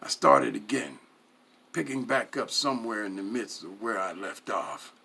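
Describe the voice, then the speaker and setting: A man giving a dramatic first-person narration, reading in a theatrical, deliberate way with pauses. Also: fairly low pitch, expressive, gravelly, sharp tone, breathy edge. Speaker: story reader.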